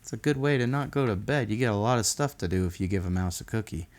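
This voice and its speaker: Playful, very animated children's read-aloud host. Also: male voice, lowish voice, fast. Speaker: host reading a picture book aloud. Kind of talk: improvised children's read-aloud with chatty asides.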